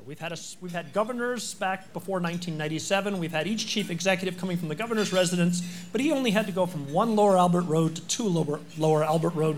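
Male host, high voice, chatty intro full of ums, faint hiss behind. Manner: chatty, halting with fillers. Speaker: event host. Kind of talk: speaker introduction.

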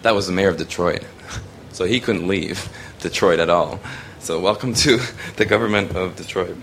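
Young guy from hong kong, really low voice, lots of ums, faint room hum behind. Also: moderate pace, fairly bright tone. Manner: rambling, many ums and restarts. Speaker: student photographer presenting his work. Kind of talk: casual spoken presentation.

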